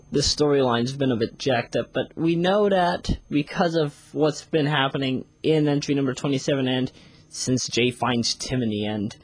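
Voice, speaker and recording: Husky voiced reviewer, casual rambly audio log, pitch jumps around a lot, really dry close sound. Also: dry recording, rough recording. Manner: rambling, often repeats phrases to restart. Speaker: series reviewer.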